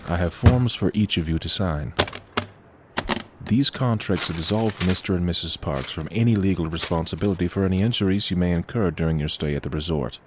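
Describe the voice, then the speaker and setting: An actor playing a grave, formal representative of a haunted inn in an audio horror drama, speaking in a measured, ominous way. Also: male voice, deep voice, background noise, natural voice.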